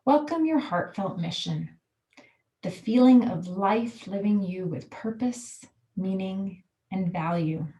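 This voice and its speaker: A woman guiding a relaxation meditation, giving calm, soothing, measured instructions. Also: mid-range voice, lo-fi sound. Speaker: yoga nidra guide.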